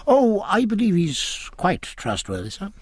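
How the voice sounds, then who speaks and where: A man playing a respectful, tactful British navy commodore in an audiobook, measured and deferential. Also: sits high, huge pitch swings, breathy edge, dry recording, clean studio sound, voice pushed up, exaggerated voice. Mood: assuring. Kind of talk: naval historical audiobook character dialogue.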